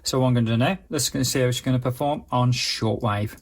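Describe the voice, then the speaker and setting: A man's voice: mid-range voice, steady tone, fast. A man giving a casual, unscripted product review, explaining things in a chatty, hedged way. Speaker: gadget reviewer, channel host.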